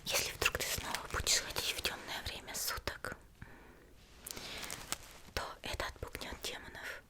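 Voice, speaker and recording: A woman speaks entirely in a soft, unvoiced whisper. She plays a caring young healer in an ASMR roleplay, addressing the listener directly. Her delivery is gentle, soothing and reassuring. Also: normal speed. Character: gentle caring young female demon-slayer healer tending a patient.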